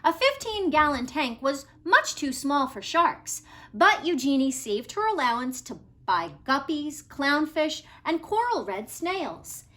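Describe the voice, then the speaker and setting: A woman reading a children's picture book aloud, expressively, with dramatic pauses and emphasis on key words. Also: high voice, sing-song, a bit bright. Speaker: read-aloud storybook reader.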